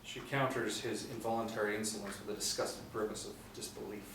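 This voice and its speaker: A man's voice: on the low side, fairly even intonation, quick pace. A man reading scripted stage directions as a narrator at a table reading. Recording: very echoey room, distant mic, faint noise.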